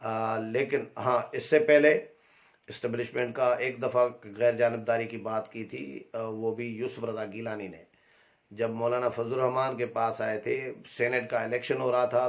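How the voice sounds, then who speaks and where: A man with a Pakistani accent giving unscripted political commentary as a reporter, explaining and recounting in a halting way. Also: medium pitch.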